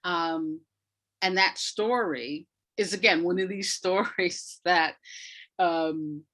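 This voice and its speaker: A woman speaking as an interview guest, answering conversationally in a reflective, emphatic way with pauses between phrases. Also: on the low side, fairly bright tone. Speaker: interview guest, arts practitioner.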